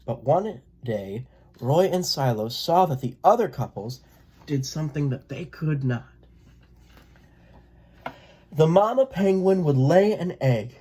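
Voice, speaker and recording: A person reading a children's picture book aloud in a gentle, expressive way. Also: mid-range voice, slow pace, pauses often, breathy edge. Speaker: read-aloud storyteller.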